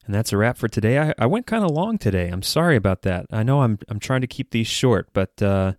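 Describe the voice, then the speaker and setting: A man's voice: fairly low pitch, very animated, a little fast, no room sound, clean studio sound. A man hosting a solo podcast, partly scripted, speaking in an earnest, sincere manner. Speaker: podcast host.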